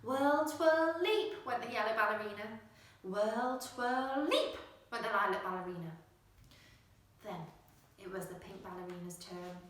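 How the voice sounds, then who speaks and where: A woman reading a children's storybook with expressive narration, putting emphasis on the action words. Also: on the high side, slight background noise. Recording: very echoey room, distant microphone.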